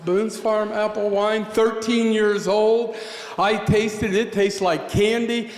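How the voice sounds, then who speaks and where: A man from southeastern Pennsylvania tells a story on a coffeehouse stage, folksy and joking with a deadpan touch. His voice sits high. The sound rings through a roomy space with a long reverb tail. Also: slow. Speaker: storyteller performer. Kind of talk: spoken storytelling. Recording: echoey room with long reverb.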